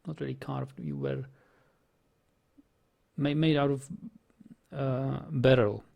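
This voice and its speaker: A man talks slowly through his game, pausing and restarting his sentences. His voice has a gravelly, creaky edge and sits at an ordinary pitch. He muses aloud and is in no hurry. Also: darkish tone. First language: Czech. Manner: hesitant, musing, many ums and restarts. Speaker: let's play host. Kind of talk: gameplay commentary.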